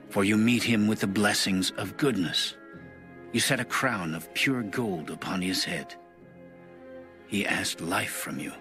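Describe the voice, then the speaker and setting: A man narrating scripture in a solemn, measured, formal reading. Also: deep voice. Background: music under the voice.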